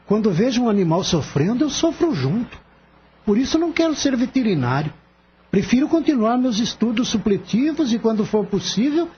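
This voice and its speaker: A man playing a gentle, earnest young veterinary assistant in a radio drama, polite and heartfelt. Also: very high voice. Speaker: voice actor. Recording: dull lo-fi audio, faint background noise.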